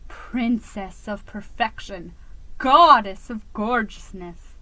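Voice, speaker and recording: A woman voicing a flirtatious, smooth-talking male sailor mouse in a storybook, murmuring drawn-out flattery. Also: mid-range voice, dry recording, poor audio. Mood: lovestruck.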